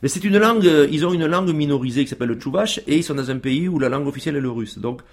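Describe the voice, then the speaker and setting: A man's voice: fairly high pitch, expressive, rapid-fire. Hesitant man chatting on radio. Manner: hesitant, many fillers and restarts. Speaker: guest on a radio show. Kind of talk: casual radio interview.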